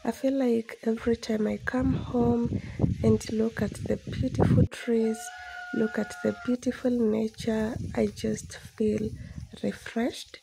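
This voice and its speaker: A young adult Kenyan woman narrating a personal vlog in a gentle, unhurried, reflective way. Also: on the high side, little pitch movement, creaky, noisy background. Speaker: vlogger narrating her village life. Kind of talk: soft-spoken vlog voice-over.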